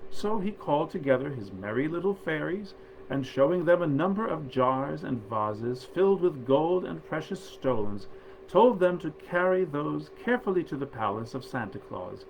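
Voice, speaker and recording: Gravelly-voiced man presenting stories, noisy recording. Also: a little high, very animated, moderate pace. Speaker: actor hosting a literary reading. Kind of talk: informal introduction, then storybook reading aloud.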